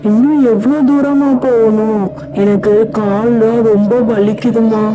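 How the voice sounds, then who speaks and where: A woman's voice: a little high, steady tone, measured pace, muffled recording, over music, close to an everyday voice. A woman voicing a tired, suspicious mother-in-law in a cartoon, with a Tamil accent and a doubting, weary manner. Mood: wary. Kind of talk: cartoon voice acting.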